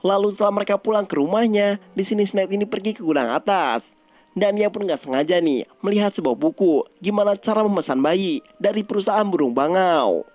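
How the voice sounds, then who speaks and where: A man's voice: sits high, lively intonation, fairly quick, a little mellow, faint music behind. A man narrates a story recap. His delivery is colloquial and conversational, like casual scripted storytelling for an online video.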